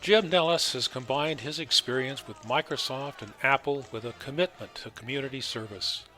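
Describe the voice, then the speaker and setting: A man's voice: medium pitch, moderate pace, over music. A man speaking as the host of an interview podcast. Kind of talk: scripted introduction, then conversational interviewing.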